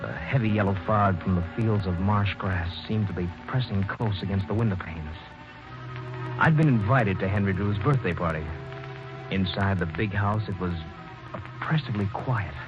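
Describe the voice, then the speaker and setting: A man's voice: low-pitched, moderate pace, lightly acted. A man playing a young wronged engineer. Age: young adult. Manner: stammering and earnest, rising to anger. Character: young ambitious male mining engineer narrating, bitter. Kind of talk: 1940s radio acting and narration. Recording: dull old 1940s radio broadcast with music.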